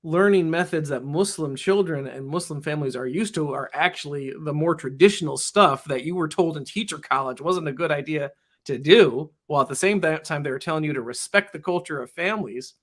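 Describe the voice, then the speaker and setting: Earnest man explaining education, halting restarts. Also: on the high side. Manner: earnest, many restarts and "you know". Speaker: interview guest, public-school teacher. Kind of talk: casual interview talk.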